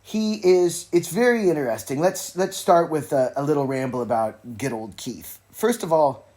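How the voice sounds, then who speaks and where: A man's voice: on the high side, moderate pace, darkish tone. A man hosting a podcast alone, rambling casually about music with wry, informal asides and frequently interrupting himself. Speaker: podcast host. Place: informal solo recording with a phone at hand.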